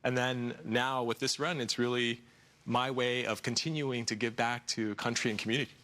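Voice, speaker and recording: A man's voice: medium pitch, flat delivery, normal speed, sharp tone. A man in his forties, a candidate answering questions in a TV news studio, earnest, with frequent fillers and repeated words. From United States. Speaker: congressional candidate. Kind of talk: TV studio interview.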